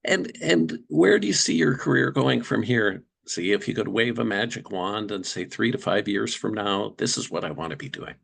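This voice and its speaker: A man interviewing a guest, asking long, polite questions at a measured pace. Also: lowish voice, animated, vocal fry, fairly bright tone, breathy edge.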